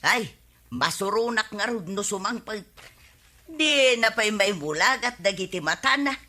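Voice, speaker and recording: Sharp, indignant Ilocano wife. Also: female voice, mid-range voice, expressive, measured pace. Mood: fed up. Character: indignant, complaining wife of a drinker. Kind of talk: Filipino radio comedy drama acting.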